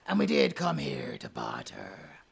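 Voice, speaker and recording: A performer in an audio drama voicing a swaggering, theatrical pirate captain, gravelly and taunting, dropping into breathy growls. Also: extremely low pitch, wildly swooping pitch, a little slow, heavily acted. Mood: menacing. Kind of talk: cinematic audio roleplay acting.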